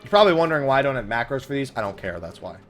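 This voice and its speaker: A man's voice: medium pitch, very animated, racing, fairly bright tone, soft background music. A man giving casual let's play commentary on a video game, swearing and griping with sarcasm. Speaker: host of a gaming let's play series.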